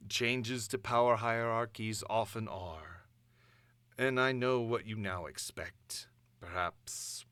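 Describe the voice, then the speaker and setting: A man performing a grandiose supervillain dictator's monologue, pompous and deliberate, addressing the world in imperious, theatrical declamation. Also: mid-range voice, fairly bright tone, no room sound. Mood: mock magnanimous. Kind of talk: dramatic villain monologue.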